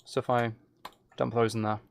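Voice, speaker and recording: Low-key man with creaky voice, gaming. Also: little pitch movement, moderate pace, slight background noise. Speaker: streamer playing a game while chatting with viewers. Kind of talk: casual game commentary and chat.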